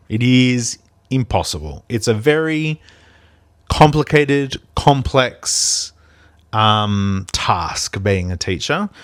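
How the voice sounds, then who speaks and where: A man's voice: on the low side, no echo. A man, a primary school teacher hosting a podcast, who talks in a reflective, rambling way with many ums and restarts. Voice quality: big voice. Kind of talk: reflective casual podcast chat.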